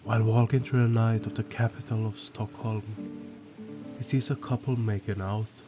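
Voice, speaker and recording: A man narrates a film breakdown in a low, level voice that barely rises or falls. His delivery is scripted and steady, with dry asides. Plucked guitar music plays clearly beneath him. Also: normal speed. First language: Swedish. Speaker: YouTube film analyst. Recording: voice-over with guitar music behind.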